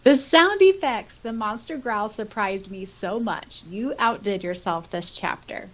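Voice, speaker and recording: Woman, medium pitch, podcast co-host, casual, giggly, enthusiastic, reading and reacting. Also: lively intonation, a little slow, fairly bright tone. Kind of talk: casual chat, reading out and reacting to listener comments.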